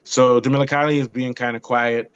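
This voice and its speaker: A man sounds off on a casual motorsport podcast. He is blunt and animated, often restarting his sentences mid-rant. His voice is dry and close, with no room sound. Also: mid-range voice, fairly quick, clear, resonant tone, no room sound, muffled recording. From not European. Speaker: podcast co-host. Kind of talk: casual opinionated chat.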